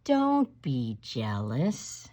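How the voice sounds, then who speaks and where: A woman voicing a placid, patient mother in a storybook, coaxing softly with drawn-out words. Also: rumbling low, huge pitch swings, pitched below the performer's own voice, heavily acted. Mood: soothing, coaxing. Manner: drawn-out, soft, patient delivery. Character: placid, coaxing mother soothing her jealous young daughter.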